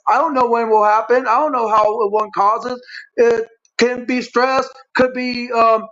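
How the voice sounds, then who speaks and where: A man with an extremely high, monotone voice talks as a guest on a show. He stutters and speaks haltingly, circling back and running long. The recording is dull and lo-fi. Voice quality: stuttering speech impairment. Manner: halting, repetitive, long-winded. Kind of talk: personal explanation on a talk show. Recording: dull, lo-fi stream audio.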